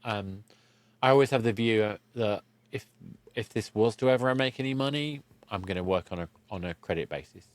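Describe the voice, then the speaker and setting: A man with a fairly low voice talks as a podcast host in a dry, close recording. He speaks conversationally and haltingly, reworking his phrases as he goes. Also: no echo.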